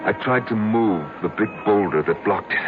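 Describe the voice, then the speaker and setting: An actor playing a haunted man confessing his strange past, with halting, repetitive, dramatic delivery in an old-time radio drama. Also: fairly low pitch, over music, close to an everyday voice. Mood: intense, haunted. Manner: halting, repeating, dramatic pauses. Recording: noisy, dull old radio broadcast.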